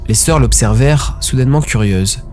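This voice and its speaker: A man's voice: mid-range voice, moderate pace. A man giving a dramatic scripted narration of a story. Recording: background music under narration.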